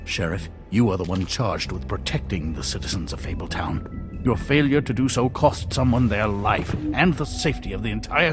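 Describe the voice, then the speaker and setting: A pompous, peevish mayor acted by a man in a video game. He is haughty and fussy, quick to blame, with theatrical diction and a pitch that swings widely over faint music. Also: lightly acted. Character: pompous, petulant, blaming male acting mayor. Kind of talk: video game cutscene acting.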